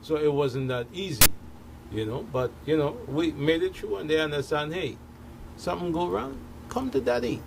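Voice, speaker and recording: A man's voice: on the high side, expressive, normal speed, vocal fry, low-quality recording. A middle-aged man chatting casually about personal experience as a panelist in a radio discussion. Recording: faint background noise.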